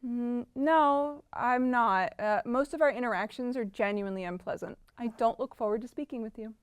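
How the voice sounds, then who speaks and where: A woman playing an earnest, anxious artist in an audio drama, talking in a casual, halting way. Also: on the high side, clean tone, no echo, clean studio sound, natural voice. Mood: placating, candid. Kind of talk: naturalistic audio drama acting.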